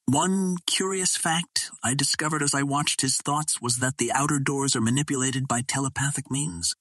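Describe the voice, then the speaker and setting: Husky man narrating an adventure novel. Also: mid-range voice, fairly bright tone, dry recording. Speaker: audiobook narrator. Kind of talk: scripted first-person adventure novel narration.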